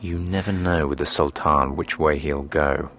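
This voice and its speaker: A man's voice: extremely low pitch, steady tone, moderate pace, a bit bright, faint music behind. An actor playing a palace guard master who narrates his tale in a radio drama, dry, sardonic and confiding. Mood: wry, confiding.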